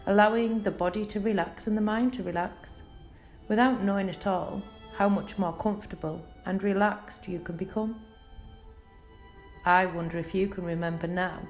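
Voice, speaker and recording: Female hypnotherapist for scripted guided relaxation; soothing, unhurried pauses, noticeably creaky voice, faint music underneath. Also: mid-range voice, darkish tone. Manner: soothing, measured, lulling delivery with pauses. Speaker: clinical hypnotherapist leading a session.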